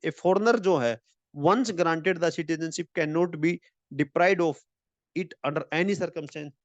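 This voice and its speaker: A man teaching an exam-prep lecture in a friendly way. He mixes in English and reads the English lines slowly. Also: on the high side, no room sound, dull audio. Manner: friendly, calls viewers friends.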